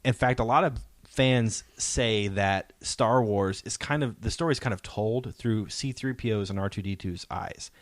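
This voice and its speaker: A man's voice: on the low side, normal speed, no echo. A man hosting a podcast, chatting casually as a fan in an enthusiastic, emphatic way that seeks agreement. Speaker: podcast host.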